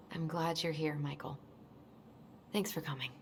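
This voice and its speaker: A woman's voice: deep voice, steady tone, slow, slight background noise. A woman playing an anxious, weary young American woman in a video game, speaking in a hesitant, subdued way. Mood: tired, grateful. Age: young adult. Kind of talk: naturalistic video game dialogue.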